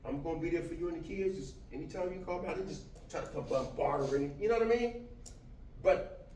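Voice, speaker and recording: A man in his fifties giving a casual talk to a class, animated and often laughing as he jokes with the audience. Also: on the high side, fast, recorded from a distance. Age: 50s. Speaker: motivational speaker. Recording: echoey room, from afar, faint noise.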